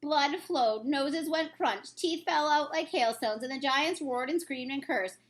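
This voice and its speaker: A woman reading a children's story aloud in expressive narration. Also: high voice, a little slow. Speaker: reader, teacher.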